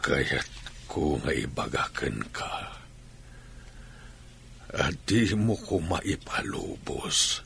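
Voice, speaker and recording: Frail, very deep, gravelly old Filipino father. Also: sing-song, fairly bright tone, slight background noise, exaggerated voice. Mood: weak, pleading. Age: older. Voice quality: gravelly, breathy. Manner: slow, halting, laboured delivery. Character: frail, ailing elderly father, tender and weary. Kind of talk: radio drama acting.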